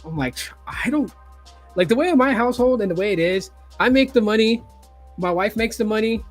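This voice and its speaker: Host, man, 40s, fairly high voice, loose and profane, faint music underneath. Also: animated, fairly quick. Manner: casual, swears freely, acts out quotes. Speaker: YouTube host. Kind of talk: casual podcast chat.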